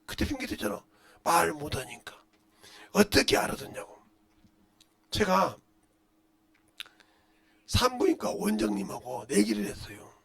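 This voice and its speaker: Middle-aged man, pastor, high-pitched for a male, wildly swinging intonation, blunt scolding sermon delivery, mutters then booms. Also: huge pitch swings, measured pace, vocal fry, pauses often. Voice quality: loud, booming voice. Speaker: pastor preaching. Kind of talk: impassioned Korean-language sermon with rhetorical questions.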